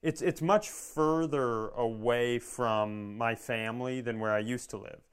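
A man's voice: mid-range voice, steady tone, measured pace, smooth. A man co-hosting a podcast in casual comedic chat, self-mocking and acting out little quotes as he talks. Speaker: podcast co-host.

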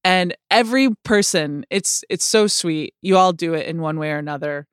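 A woman with a lower-than-average voice talks openly about her life, pausing and restarting often. Her tone is smooth and clear. The recording is close and dry, with no room sound. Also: no room sound. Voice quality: lower voice than most women. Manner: halting, many likes and restarts. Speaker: guest sharing her experience. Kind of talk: candid conversational talk.

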